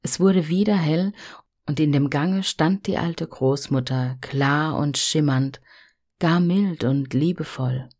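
A woman storyteller reading a fairy tale in an expressive, gentle and hushed manner. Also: deep voice, takes it slowly.

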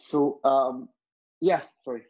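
A man's voice: medium pitch, fairly even intonation, slow, a little mellow. Israeli-accented man, slightly muffled sound. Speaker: podcast guest, health entrepreneur and speaker. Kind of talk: conversational interview answers.

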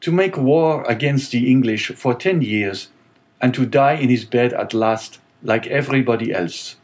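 A man voicing a calm, resolute young sailor in grief, speaking earnestly in a raised voice. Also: medium pitch, unhurried, natural voice.

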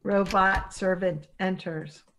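Middle-aged female announcer: forceful bright tone, measured scripted read, mid-range pitch. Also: steady tone, a little hiss or hum. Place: indoors on a video call.

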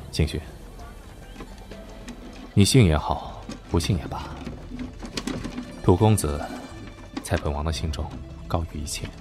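A man playing a scheming, world-weary young prince in a Chinese period audio drama, speaking in a theatrical, drawn-out way with sighs. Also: very deep voice. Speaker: lead voice actor in an audio drama. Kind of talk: period audio drama acting with inner monologue.

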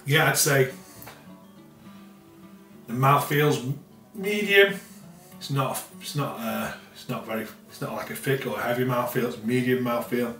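A man's voice: medium pitch, unhurried, long pauses, sharp tone. Middle-aged man, chatty beer review, rambling with fillers, roomy kitchen, a bit off-mic, faint music behind. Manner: rambling, repeats himself, many fillers. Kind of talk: casual beer tasting review. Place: home kitchen, indoors. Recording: roomy, slightly distant sound with faint music.

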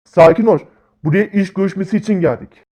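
Casting: male actor as excitable, bossy big-brother type for comic cartoon, brash and scolding, fairly high voice. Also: a little fast. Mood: businesslike.

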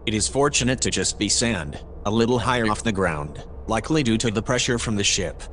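An actor playing a calm, analytical spaceship AI, narrating in a measured, formal, precise way. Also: male voice, lowish voice, slight background noise. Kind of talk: audio drama narration.

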